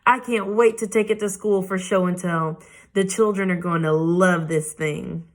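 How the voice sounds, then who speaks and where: A woman's voice: mid-range voice, fairly even intonation, normal speed, close to an everyday voice. A woman voicing a spirited, proud little schoolgirl in a children's book read aloud, whiny and hollering. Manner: whiny, hollering, dragging words out.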